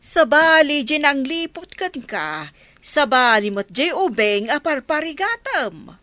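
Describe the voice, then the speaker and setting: An exasperated older mother, played by a woman, with a fairly high, bright voice that rises and falls dramatically. She scolds reproachfully in radio-drama style. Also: very animated, takes it slowly, dry recording, full character voice. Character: exasperated older mother reproaching her hard-hearted daughter.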